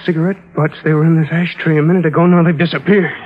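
Man acting an anxious, higher-voiced husband doubting himself. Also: level tone, fast talker, slight background noise, close to an everyday voice. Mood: bewildered. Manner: urgent, questioning, melodramatic. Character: distraught widower husband, anxious and doubting his own sanity. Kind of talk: 1940s-50s radio drama acting. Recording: dull old radio recording, loud music behind.

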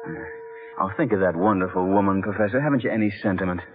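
Actor as brash young American sleuth, lowish voice, suave and wry, tinny old radio drama. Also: male voice, quick pace, faint music behind, close to an everyday voice. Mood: pressing. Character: young man, brash, persistent adventurer-sleuth. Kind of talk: 1940s-50s radio acting.

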